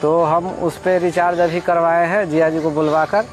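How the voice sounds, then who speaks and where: Bihari man, high-pitched, plaintive complainant giving his account, prominent music underneath. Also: level tone, moderate pace. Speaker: complainant, patient's relative. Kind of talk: pleading phone talk and interview account. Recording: background music over recorded speech.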